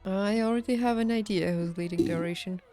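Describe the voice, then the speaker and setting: A woman game streamer chatting casually, thinking aloud haltingly and laughing easily, as if working out each thought while she talks. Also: medium pitch, warm tone. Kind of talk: casual livestream chat over gameplay. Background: game music.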